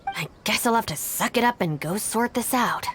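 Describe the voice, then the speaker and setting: A woman's voice: on the high side, animated, moderate pace, fairly bright tone, a little hiss or hum. A woman playing a stern, level-headed young gang minder in a video game, speaking in a dry, controlled, exasperated way. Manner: dry, controlled, matter-of-fact. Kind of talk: English video game voice acting.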